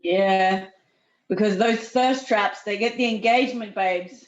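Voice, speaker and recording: A woman with an Australian accent softened by British, chatting candidly and informally as a podcast guest. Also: mid-range voice, measured pace. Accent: Australian, slightly blended with British. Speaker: podcast guest, personal trainer. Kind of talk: casual podcast chat. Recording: echoey, slightly dull audio.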